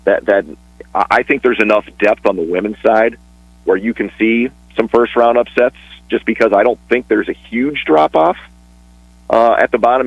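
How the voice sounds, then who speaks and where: A man talking casually on a radio sports show, chatty and a bit rambling, with restarts and quick laughs. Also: fairly low pitch, slightly rough, dry recording, poor audio. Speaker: sports broadcaster guest.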